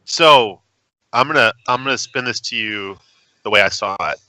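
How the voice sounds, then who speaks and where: Bright-voiced animated man on a podcast. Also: normal speed, no room sound, poor audio. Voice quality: baritone. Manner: animated storyteller, jokey. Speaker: podcast co-host. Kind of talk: casual gaming podcast chat.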